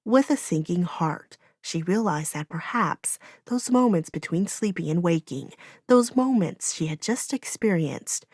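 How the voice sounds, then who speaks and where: A woman's voice: on the low side, lively intonation, unhurried, a little mellow, dry recording, clean studio sound. A woman reading a fantasy audiobook as narrator, in dramatic, solemn storytelling.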